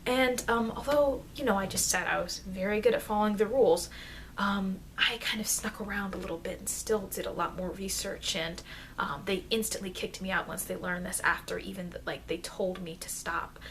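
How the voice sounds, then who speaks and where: A woman playing a chatty, informal immortal vampire teacher in an ASMR roleplay, rambling hesitantly and confidingly, with soft dips into whispering. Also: medium pitch, moderate pace, lightly acted. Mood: confiding, defensive. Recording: noisy amateur microphone.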